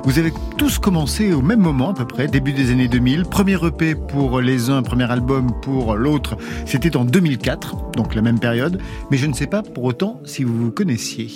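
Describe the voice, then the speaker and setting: A man hosting a radio music show in a studio, announcing guests in a smooth scripted way. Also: mid-range voice, expressive, moderate pace. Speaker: radio host. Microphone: studio microphone.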